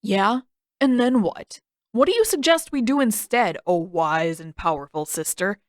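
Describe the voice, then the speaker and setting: A woman's voice: mid-range voice, lively intonation, measured pace, no echo, clean studio sound, close to an everyday voice. A woman voicing a sarcastic, complaining teenage boy who grumbles through a story reading. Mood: sarcastic, indignant.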